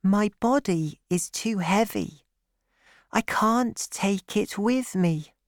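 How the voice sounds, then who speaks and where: A man voicing a gentle, sad little boy prince, speaking softly and earnestly. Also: sits high, slow, dry recording, clean studio sound, pitched above the performer's own voice. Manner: soft, earnest, wistful.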